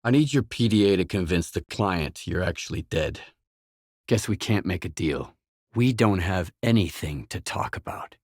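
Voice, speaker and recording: An actor playing a gruff, guarded, hard-bitten mercenary in a video game, speaking in terse, blunt, businesslike lines. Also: male voice, deep voice, lively intonation, moderate pace, no echo, studio quality. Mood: hard, threatening.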